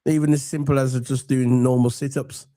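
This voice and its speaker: Croaky English man hosting football chat. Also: mid-range voice, flat delivery, fairly quick, no echo, studio quality. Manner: chatty, rambling, gives shout-outs to viewers. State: croaky from a cold. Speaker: football podcast host. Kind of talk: casual chat.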